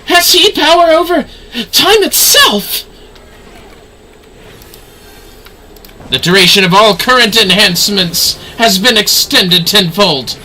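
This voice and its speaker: An actor playing an arrogant, menacing immortal sorcerer in a fantasy video game, declaiming in archaic, grandiose language over noisy game audio. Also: male voice, high voice, very animated, measured pace, sharp tone, heavily acted. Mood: imperious. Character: theatrical, arrogant immortal male dark sorcerer villain.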